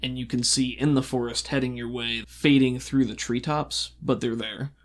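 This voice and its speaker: A game master with a mid-pitched voice narrates a tabletop adventure. The delivery is improvised and conversational, halting now and then for an aside before picking the scene back up. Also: fairly even intonation.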